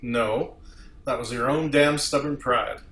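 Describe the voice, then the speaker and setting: Proud, sardonic starship captain, read by a man, formal delivery, medium pitch, roomy sound, amateur sci-fi drama. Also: measured pace, a bit bright. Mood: reproachful. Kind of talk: amateur table read of TV script. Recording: echoey room with faint noise.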